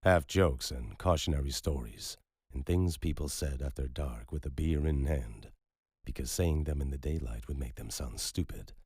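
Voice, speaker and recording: A man narrating a thriller audiobook in a steady, measured way. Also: a bass voice, breathy edge, no room sound, studio quality.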